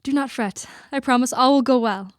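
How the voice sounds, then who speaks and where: A young woman playing a gentle, devoted young bride in a radio play, speaking sweetly and formally. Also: sits high, normal speed, no echo, clean studio sound, natural voice. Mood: reassuring, fond. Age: young adult. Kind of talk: student radio play acting. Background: music underscoring.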